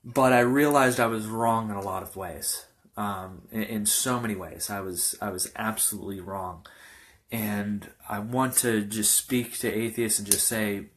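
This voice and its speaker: A man in his early twenties, a pastor giving an informal personal testimony in a halting, loosely rambling way. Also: on the low side, fairly even intonation, a bit bright. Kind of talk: informal testimony talk to camera.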